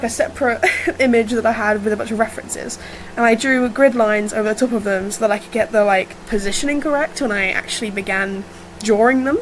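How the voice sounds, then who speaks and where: A woman with a fairly high voice, a little rough from being sick, chats informally through her drawing process. She is unscripted and self-deprecating, recorded without a proper mic, with a faint fan whirring behind her. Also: a little hiss or hum, rough recording. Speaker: digital artist narrating own speedpaint. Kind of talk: casual unscripted art commentary. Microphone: built-in or improvised, no dedicated mic.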